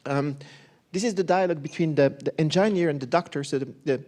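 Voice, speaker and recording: A man from Belgium, a professor giving a candid, lively conference talk. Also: fairly high pitch, fast talker. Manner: candid, self-deprecating, lively presenter.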